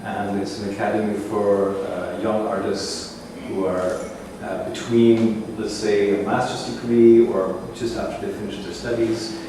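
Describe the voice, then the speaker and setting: A man gives a talk to visitors in a large gallery, his voice bouncing around a very echoey room. He is picked up from some distance, with steady ambient noise underneath. His delivery is measured and reflective. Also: on the low side. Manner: measured, reflective, searching for words. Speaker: curator hosting a gallery talk. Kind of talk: public talk introducing an artist.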